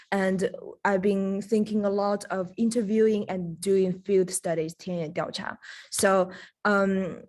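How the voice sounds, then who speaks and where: Earnest woman filmmaker, halting speech. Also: mid-range voice. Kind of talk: festival Q&A remarks.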